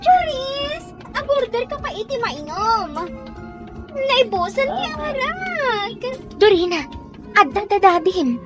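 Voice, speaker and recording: A woman's voice: very high voice, sing-song, slow pace, loud music behind the voice, heavily acted. A woman acting in an amateur audio drama as a defiant, hard-partying young daughter, whiny and stubborn.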